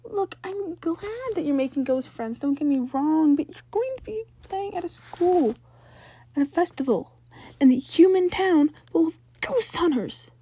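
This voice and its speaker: A woman's voice: very high voice, animated, a little slow, warm tone, voice pushed up. A woman voicing a worried, exasperated teenage older sister in a fan fiction reading, emphatic and scolding.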